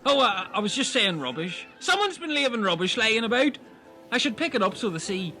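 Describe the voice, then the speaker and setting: A man playing an excitable fool stranded on a desert island in a comedy audio sketch. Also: high voice, brisk, exaggerated voice. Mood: blustering, flustered. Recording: loud music behind, blown-out homemade audio.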